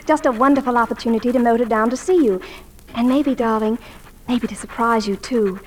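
A woman plays an affectionate, eager young student daughter in a vintage radio play. The voice is very high and girlish, effusive in manner, ringing with long reverb. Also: fairly quick, a little hiss or hum, natural voice. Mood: cheerful, excited. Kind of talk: 1940s radio drama acting.

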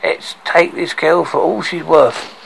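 A man's voice: fairly high pitch, fairly even intonation, unhurried, creaky, a bit bright, slight background noise, exaggerated voice, poor audio. A man voicing a greedy, scheming dwarf leader in a storybook reading, with a gloating manner.

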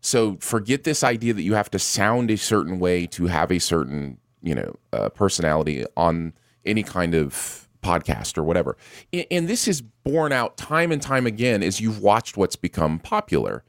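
A man's voice: deep voice, big pitch swings, moderate pace, dry recording, studio quality. A man co-hosting a podcast and live stream who rambles a little, repeating words and using many fillers. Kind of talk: casual chat.

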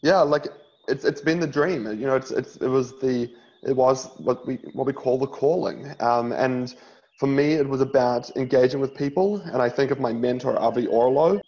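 A man in his thirties from New Zealand speaks as a rabbi in an interview. His middle-pitched voice comes through slightly dull, lo-fi audio. He talks earnestly, with frequent uhs and restarts. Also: moderate pace, a little mellow. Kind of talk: interview guest telling his story.

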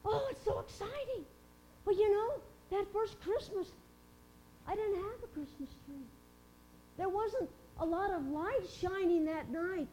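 A woman's voice: very high-pitched, lively intonation, measured pace, dark tone, slight background noise, rough recording. A woman playing Mary, wide-eyed and earnest. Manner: earnest, wondering, emotional storytelling. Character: Mary, young mother of Jesus, awed and earnest. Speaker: dramatic monologue performer. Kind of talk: first-person biblical character monologue.

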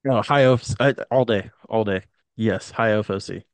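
A man's voice: lowish voice, normal speed, no echo. A man in his late twenties, a guest on a podcast, talking casually in a laid-back way. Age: 20s.

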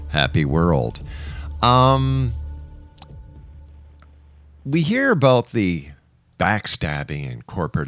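Male radio interview host, very low voice, measured announcer delivery, faint music behind. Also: fairly bright tone, no echo.

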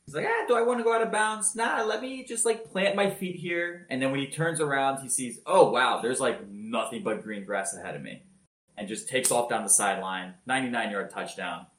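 A man telling football highlights in a casual podcast chat, energetic and loud-voiced. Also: a little fast, roomy sound. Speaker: podcast co-host. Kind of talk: casual sports recap.

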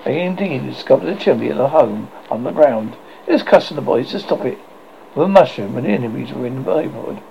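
A man reads a storybook aloud in an unpolished way, stumbling and restarting over words. His voice is gravelly and lively in pitch. The recording is dull and lo-fi with a faint hiss. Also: fast talker. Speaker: audiobook reader.

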